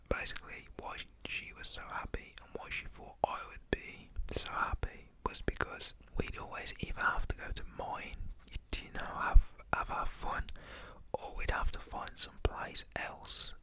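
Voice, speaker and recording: Whispering man telling a story. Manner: casual, slangy, whispering throughout.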